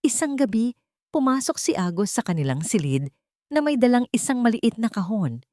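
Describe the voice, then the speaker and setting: A woman's voice: a little high, sing-song, moderate pace, a little mellow, no room sound, studio quality. A woman reading a scripted, dramatic love story as its narrator.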